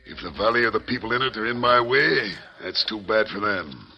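An American actor playing a curt, domineering, ruthless mine boss in an old radio Western. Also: male voice, fairly low pitch, lively intonation, brisk. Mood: defiant. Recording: muffled, noisy vintage radio recording.

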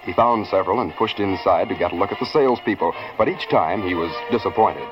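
Male narrator, lowish voice, 1940s serial storytelling over music, muffled recording. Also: fast talker. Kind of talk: scripted 1940s radio narration.

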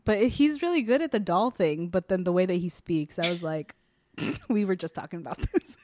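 A young woman co-hosting a casual chat, relaxed and loose with fillers. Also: on the low side, normal speed, a little hiss or hum. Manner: relaxed, trails off with fillers and laughs. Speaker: podcast co-host.

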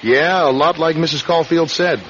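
An actor playing a conscientious young police officer in a radio drama, earnest and polite. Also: male voice, on the high side, steady tone, normal speed, a bit bright, lightly acted. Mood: eager, urgent. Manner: polite, earnest, procedural questioning. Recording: dull radio recording with background hiss.

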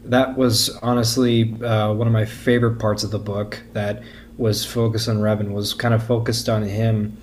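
Male guest, lowish pitch, earnest conversational delivery with repeated stammered restarts, faint background noise. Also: level tone, moderate pace. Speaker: podcast guest. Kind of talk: casual discussion.

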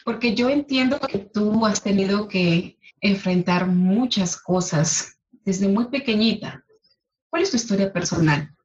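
A woman's voice: mid-range voice, measured pace, dull audio. A woman hosting a podcast interview, opening with a read introduction and greeting her guest with effusive praise. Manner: warm, effusive, emphatic praise. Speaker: podcast host.

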